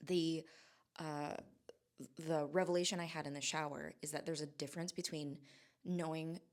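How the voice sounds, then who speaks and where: A woman in her forties from Hawaii, talking through a reflective home vlog in a musing, halting way with many restarts. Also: deep voice, fairly even intonation. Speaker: vlogger. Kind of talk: casual reflective monologue. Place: home in Southern California.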